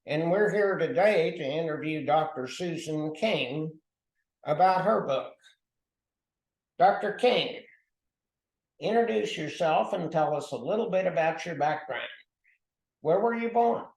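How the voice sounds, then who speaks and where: A man's voice: fairly high pitch, expressive, unhurried, gravelly, pauses often. A man interviewing an author, asking brief and courteous questions. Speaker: interviewer for an arts group. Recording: echoey room.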